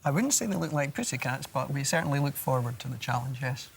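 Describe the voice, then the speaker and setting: Male contestant from Scotland, mid pitch, creaky, hesitant under time pressure. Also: animated, slight background noise. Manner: halting, thinking aloud, many fillers. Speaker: quiz team captain. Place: television studio.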